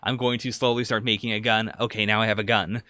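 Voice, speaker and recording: A man's voice: lowish voice, little pitch movement, quick pace, bright, forceful tone, no echo. A man co-hosting a podcast, casually discussing anime and thinking aloud as he analyses it. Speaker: podcast co-host.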